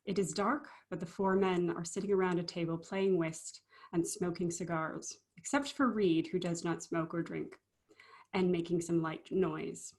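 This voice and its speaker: Lower-pitched woman reading stage directions. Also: fairly even intonation, normal speed, darkish tone. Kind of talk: scripted narration.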